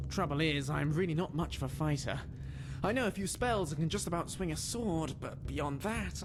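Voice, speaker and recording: A man's voice: high voice, heavily acted. A man playing an eager, bookish young scholar in a video game, polite, wordy and well-spoken, with a self-deprecating manner. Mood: sheepish, courteous. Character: eager, bookish young male scholar adventurer from a fantasy empire. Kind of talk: video game character dialogue. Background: music.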